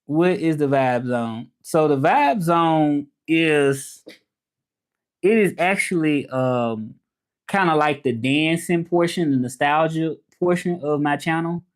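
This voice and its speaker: A man's voice: a little high, slow pace. A man in his thirties from Memphis with a Southern accent, chatting loosely on a livestream, greeting viewers and repeating himself as he talks. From Memphis, Tennessee. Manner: loose, repetitive, greets viewers and urges subscribing. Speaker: livestream host and French teacher. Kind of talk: casual livestream chat.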